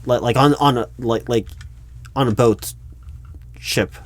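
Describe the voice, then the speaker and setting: A man playing a politely incredulous recruiting manager in an improvised audio roleplay, speaking aloud in a halting, stammering way and repeating words. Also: medium pitch, close to an everyday voice. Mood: puzzled, incredulous. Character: flustered, politely incredulous male recruiting manager interviewing someone. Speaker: job interviewer. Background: computer keyboard typing.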